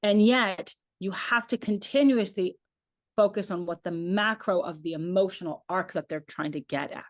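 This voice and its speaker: A woman whose first language is Hebrew, an opera director talking through her ideas in a relaxed online lecture, thoughtful and chatty with many "like"s and "um"s. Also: lowish voice, moderate pace. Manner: thoughtful, chatty, uses "like" and "um" often.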